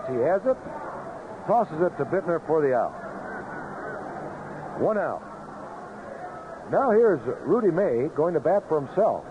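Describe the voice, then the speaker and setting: A man's voice: on the high side, narrow, tinny audio, background noise. A man calling baseball play-by-play live on a vintage radio broadcast. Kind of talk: live radio sports commentary. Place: outdoor ballpark broadcast booth.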